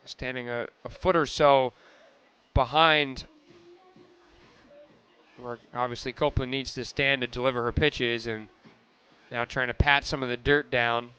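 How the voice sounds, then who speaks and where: A man's voice: mid-range voice, fairly even intonation, unhurried, pauses often, sharp tone, clean tone. A man doing radio sports commentary as a play-by-play announcer.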